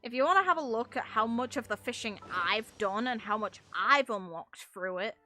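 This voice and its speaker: A woman's voice: fairly high pitch, expressive, normal speed, bright, forceful tone, faint music behind. A woman streaming a game in casual, rambling commentary, talking in a blunt, exasperated, sweary way. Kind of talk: casual ranting gaming commentary.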